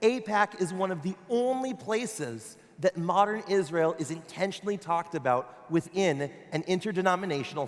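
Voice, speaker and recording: A man speaks as a rabbi, giving prepared conference remarks in an earnest, measured tone. His voice is notably high for a man. The long reverb of a large hall trails each phrase.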